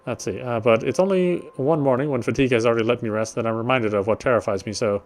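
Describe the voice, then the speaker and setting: A man's voice: mid-range voice, fast talker, a little mellow. A man doing casual let's play commentary and reading a game's story text aloud. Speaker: game streamer. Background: game music.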